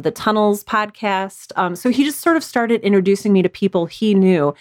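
A woman's voice: lowish voice, no room sound, clean studio sound. An American woman giving conversational interview answers as a podcast guest, explaining things with enthusiasm and emphasis. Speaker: guest, audio drama podcast creator.

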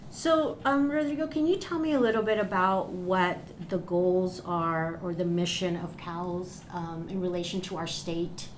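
A woman's voice: lowish voice, a little slow, few pauses, a little hiss or hum. A woman hosting a radio podcast interview, asking questions in a friendly way. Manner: friendly, asks questions, laughs lightly.

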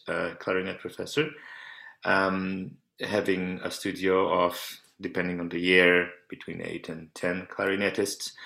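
A man's voice: low voice, vocal fry, sharp tone. A man from Poland, a clarinet professor answering radio interview questions at length, often restarting and repeating words. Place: remote call into a radio show. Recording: roomy echo.

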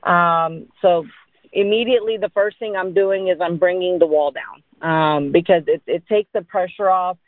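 A woman's voice: fairly low pitch, normal speed, dry recording. A woman giving informal sales training in an explanatory way, often checking in with short tags as she goes. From born in India, grew up in Dallas, Texas. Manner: explanatory, with frequent check-in tags like "right?" and "okay?". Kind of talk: informal instructional talk. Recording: narrow-band phone-line sound.

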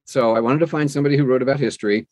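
A middle-aged or older man with a medium-pitched voice speaks as a guest in an interview. He tells anecdotes in a casual, conversational way and acts out what people said. The recording is dry, with little room sound. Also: very fast, a little mellow, no room sound. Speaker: interview guest, audiobook narrator.

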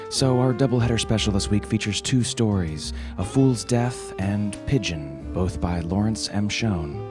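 Guy podcast host, deep-ish voice, wry and theatrical scripted bits, music behind him. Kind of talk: scripted comic news satire and fiction introductions.

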